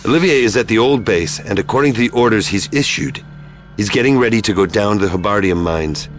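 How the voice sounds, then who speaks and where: A man's voice: fairly low pitch, a little fast, soft background music, lightly acted. A man playing a level-headed, businesslike operative in a sci-fi video game, speaking matter-of-factly. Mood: serious. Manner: matter-of-fact, questioning. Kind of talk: video game cutscene acting.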